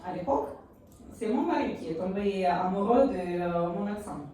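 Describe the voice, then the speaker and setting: A Ukrainian woman with a Ukrainian accent doing stand-up on a club stage, speaking in halting bursts with many fillers and self-deprecating asides. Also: medium pitch, lively intonation, dark tone. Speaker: stand-up comedian. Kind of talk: stand-up set while eating hot sauce. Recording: echoey room, far from mic.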